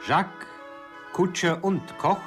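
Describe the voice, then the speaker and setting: Slow, measured male radio announcer. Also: high-pitched. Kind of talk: scripted radio closing credits. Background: loud flute music.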